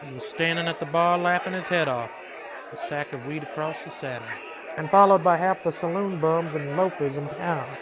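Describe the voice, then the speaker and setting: A brooding, authoritative frontier marshal, acted by a man in a western radio play, terse and weary in a slightly high male voice. Faint noise and a murmur of people sit behind him. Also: normal speed, crowd noise, close to an everyday voice. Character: weary, brooding, commanding Old West marshal. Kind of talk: old-time western radio drama acting.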